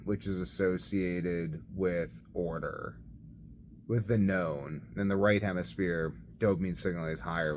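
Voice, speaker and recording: A man's voice: deep voice, darkish tone. A man rambling casually through a personal video diary, hesitant and thinking aloud as he goes. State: sleepy. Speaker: vlogger. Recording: clear background noise.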